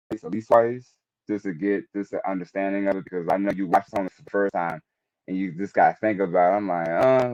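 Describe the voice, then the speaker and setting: A man with a low, deep voice shares an informal reflection as a panelist. He speaks haltingly and hesitantly, and the audio is dry and somewhat muffled. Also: a little mellow, dry recording.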